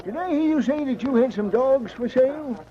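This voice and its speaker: An actor playing a folksy, gullible gold prospector with rustic frontier speech in an old-time radio drama. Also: male voice, extremely high pitch, animated, normal speed, warm tone. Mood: friendly. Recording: muffled, noisy old radio recording.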